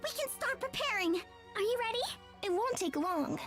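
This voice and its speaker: A woman's voice: very high voice, big pitch swings, moderate pace, over loud music. A woman voicing tiny, urgent, otherworldly forest fairies in a visual novel. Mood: expectant. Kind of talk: visual novel character dialogue.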